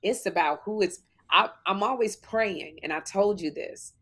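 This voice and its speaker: A woman in her fifties, co-hosting a podcast, with a deep voice, telling stories animatedly and acting out what people say. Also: a little slow, breathy edge. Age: 50s. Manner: animated storytelling, acts out quotes. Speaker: podcast co-host. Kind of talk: casual faith-filled chat.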